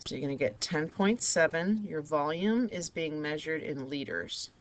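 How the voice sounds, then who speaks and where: A woman teaching a math lesson with a raspy, hoarse voice, talking students through each step of the problems. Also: sits low, sing-song, moderate pace. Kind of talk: recorded math lesson over a digital whiteboard.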